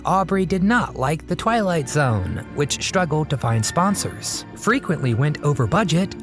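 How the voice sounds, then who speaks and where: A narrator reading a scripted history in a steady, informative way. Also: mid-range voice. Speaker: documentary narrator. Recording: narration over music.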